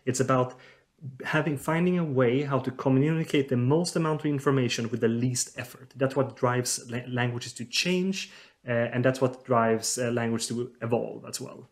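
A man's voice: medium pitch, moderate pace. A man from southern Sweden, a linguist guest, giving thoughtful, explanatory interview answers with frequent hesitations. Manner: thoughtful, hesitant with many fillers.

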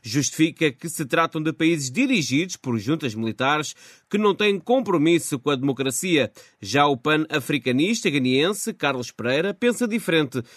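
A man's voice: on the high side, animated, normal speed, a bit bright, no room sound, clean studio sound. A man presenting a scripted morning news programme on the radio. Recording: music bed under voice. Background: music.

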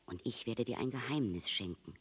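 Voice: medium pitch, a little fast, slightly rough. A storyteller voicing an earnest, wistful fox who longs to be tamed, speaking in an emphatic, pleading, explanatory way. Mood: sad, gentle. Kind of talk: storybook character reading.

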